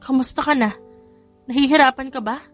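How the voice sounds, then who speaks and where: A woman's voice: high-pitched, brisk, soft background music, voice pushed up. A woman playing an anxious, devoted and teasing young wife in an audio drama. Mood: tender, teasing.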